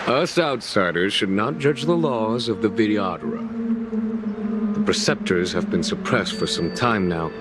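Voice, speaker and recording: An actor playing a calm, genial general in a video game, speaking in measured, eloquent and formal words. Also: male voice, on the low side, very noisy. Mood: measured, shrewd. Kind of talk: video game cutscene acting. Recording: music behind the voice.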